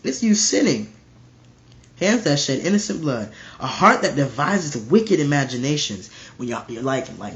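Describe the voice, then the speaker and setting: A man with a stuffy nose casually reading Bible verses aloud and explaining them in slangy, animated commentary. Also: mid-range voice. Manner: animated, slangy, acts out voices.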